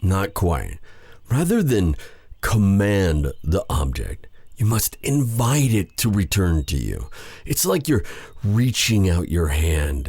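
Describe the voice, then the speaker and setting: A man playing a feared dark sorcerer with a tender side, in a courtly, romantic fantasy ASMR roleplay style. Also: sits low, husky, no echo, exaggerated voice. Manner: courtly, formal phrasing with endearments. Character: feared dark sorcerer, tender and flustered toward his captive. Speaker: voice actor in audio roleplay.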